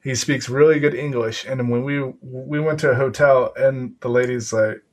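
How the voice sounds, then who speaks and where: A man's voice: medium pitch, fairly even intonation, moderate pace. A man, a guest in casual chat, who rambles and restarts his sentences. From United States. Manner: rambling, self-interrupting storyteller with repeated restarts.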